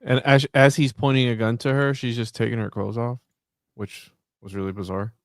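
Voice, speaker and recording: A man's voice: mid-range voice, little pitch movement, moderate pace, no room sound, studio quality. A man co-hosting a podcast, chiming in with wry, skeptical questions in casual chat. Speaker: podcast co-host.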